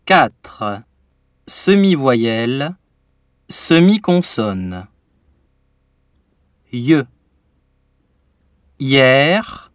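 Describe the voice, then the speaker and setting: A man narrating a language course, reading words and headings very slowly with deliberate articulation. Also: medium pitch, fairly bright tone, no room sound, studio quality. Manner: very slow, deliberate, exaggerated articulation. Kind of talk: scripted pronunciation lesson reading.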